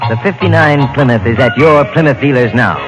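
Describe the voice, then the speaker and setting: A man's voice: medium pitch, brisk, loud music behind the voice. A man with an American accent reading a 1950s radio commercial as announcer, punchy and emphatic.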